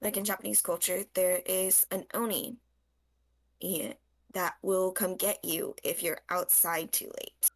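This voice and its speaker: A woman's voice: lowish voice, little pitch movement, a little slow, dry recording. A young woman chatting casually on a game stream, explaining things in a matter-of-fact way. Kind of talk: casual chat.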